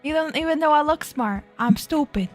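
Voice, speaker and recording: Giggly high-voiced young woman streamer. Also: animated, moderate pace, soft background music. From Florida. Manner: giggly, bubbly, self-deprecating, easily distracted. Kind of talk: casual live-stream chat with viewers.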